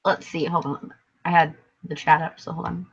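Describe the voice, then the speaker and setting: A woman's voice: low voice, little pitch movement, normal speed, vocal fry, husky. A tired woman who is losing her voice, co-hosting a casual live book chat in a rambling, hesitant, self-correcting way. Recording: dull lo-fi stream audio.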